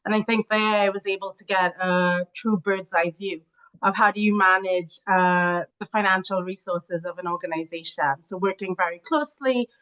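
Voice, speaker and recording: A woman's voice: mid-range voice, fairly even intonation, normal speed, a bit bright. A woman from Trinidad explaining her field as an expert guest in an interview. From Trinidad, Caribbean. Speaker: guest, corporate treasury and risk expert. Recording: dull, band-limited call audio.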